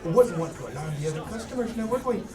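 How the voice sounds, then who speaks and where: Casting: man as jolly fantasy innkeeper, very high male voice, eager to please, recorded slightly off-mic. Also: animated, racing, recorded from a distance, a crowd in the background. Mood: obliging. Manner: folksy, effusive, slightly flustered. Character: jovial short round innkeeper, eager to please. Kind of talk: fantasy audio drama acting. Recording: roomy echo, off the mic.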